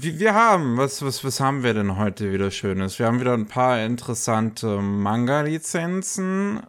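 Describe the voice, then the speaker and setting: Low-voiced man with a cold. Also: smooth. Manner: relaxed, many fillers and restarts. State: has a cold. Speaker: podcast host. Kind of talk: casual news chat.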